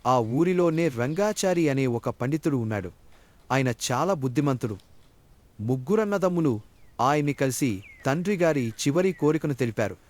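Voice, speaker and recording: A man's voice: medium pitch, expressive, moderate pace, a little mellow, no echo. A man narrating a scripted moral story for children as a storyteller.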